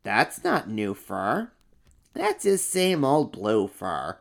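A man voicing a sweet, agreeable little monster child in a storybook reading, speaking gently and slowly. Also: lowish voice, huge pitch swings, gravelly, exaggerated voice. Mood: gentle.

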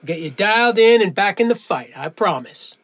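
A man playing a brisk, encouraging firearms instructor in an audio drama, with clipped, commanding delivery. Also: sits high, expressive, fairly bright tone, no echo, clean studio sound, exaggerated voice. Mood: coaxing, supportive. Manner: commanding, clipped instruction with coaxing pep talk.

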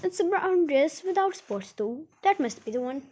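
A woman's voice: high-pitched, expressive, normal speed, darkish tone, pitched above the performer's own voice. A woman voicing a chatty young female shop assistant in a children's story. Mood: helpful.

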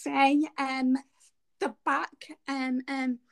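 Brief: female guest, severe stammer, very slow, high and creaky, dry sound. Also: level tone, no echo. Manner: severe stammer with long blocks and repeated sounds. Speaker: podcast guest. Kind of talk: casual interview answers.

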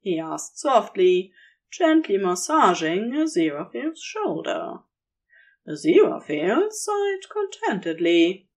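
A woman's voice: medium pitch, big pitch swings, takes it slowly. A woman reading scripted fiction as the narrator of an audio story.

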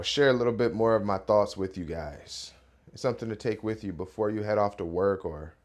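A man in his thirties hosting a casual morning podcast, his voice hoarse and a little raspy, rambling with fillers. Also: sits low. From Rochester, New York area. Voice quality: relaxed, rambling delivery with many fillers. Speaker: podcast host.

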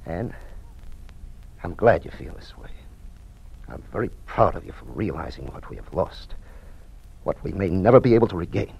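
A man's voice: sits low, big pitch swings, normal speed, vocal fry, pauses often, a little mellow, slightly rough, full character voice. An actor playing an aging, bookish father, proud and regretful, with theatrical delivery in an old-time radio drama. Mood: anguished, regretful. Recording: muffled old radio recording.

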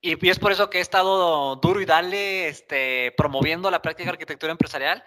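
A man's voice: fairly high pitch, fast talker, pushed and bright. A man, chatting casually as a podcast co-host. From Monterrey, Mexico. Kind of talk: casual chat.

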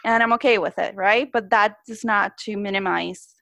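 A woman's voice: mid-range voice, fairly even intonation, normal speed, vocal fry, fairly bright tone, dry recording. A woman from Puerto Rico with a Spanish accent, asking interview questions earnestly and sometimes searching for words. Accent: Puerto Rican Spanish.

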